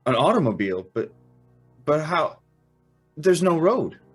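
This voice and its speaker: A man's voice: fairly high pitch, sing-song, unhurried, husky, faint music behind, full character voice. An actor playing a naive young man in an old-time radio drama, full of wonder and hesitant questions. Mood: puzzled amazement. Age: young adult. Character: naive, awestruck young male guest and narrator.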